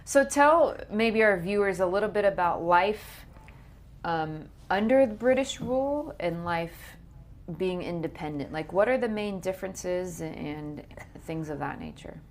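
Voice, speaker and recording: A woman's voice: on the low side, expressive, measured pace. A woman hosting an interview, encouraging her guest with frequent brief affirmations. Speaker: interview host. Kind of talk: casual interview chat.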